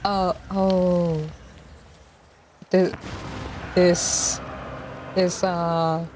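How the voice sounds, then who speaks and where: A young woman in her twenties, soft-spoken and hesitant, chatting on a live stream while gaming, with a mic clipped to her collar. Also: lowish voice, flat delivery, very slow, a little hiss or hum. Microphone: lapel mic clipped to collar. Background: faint game music.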